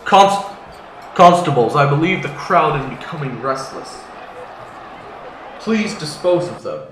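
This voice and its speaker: In a roomy, echoing space, a haughty, paranoid revolutionary leader holds forth , acted by a man. The voice is on the high side for a male, with formal and pompous oratory suited to a science-fiction audio drama. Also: animated, a little slow, a bit bright, a little hiss or hum. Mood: ranting, unhinged. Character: haughty, paranoid revolutionary politician sliding into ranting. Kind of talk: scripted sci-fi audio drama acting.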